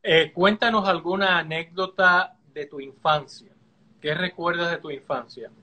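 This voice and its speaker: Middle-aged guy hosting a video call interview, highish bright voice. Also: unhurried. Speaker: co-host. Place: indoor studio before a leafy backdrop.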